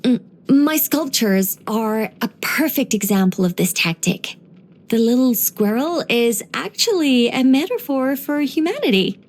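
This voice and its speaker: A woman voicing a gentle, wry former angel turned human storyteller in a video game, musing and lightly teasing in an unhurried way. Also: high-pitched, animated. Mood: musing, matter-of-fact. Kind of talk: scripted video game character voice lines.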